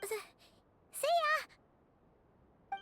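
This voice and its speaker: A woman voicing a small, excitable, childlike fairy companion in a video game. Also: drawn-out words. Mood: bewildered. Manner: refers to herself in the third person, perky.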